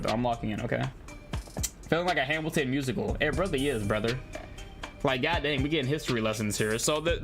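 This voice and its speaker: A man livestreaming a visual novel, reading text aloud and commenting in a slangy, jokey way, stumbling over long words. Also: on the high side. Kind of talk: live game commentary mixed with reading on-screen text aloud. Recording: music playing behind the voice. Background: music.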